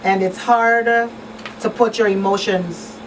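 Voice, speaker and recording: A woman's voice: medium pitch, fairly even intonation, slow. A middle-aged woman hosting a conversational radio talk show, speaking earnestly and repeating phrases for emphasis. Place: small radio studio. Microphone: boom-arm studio microphone. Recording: distant mic, faint room noise.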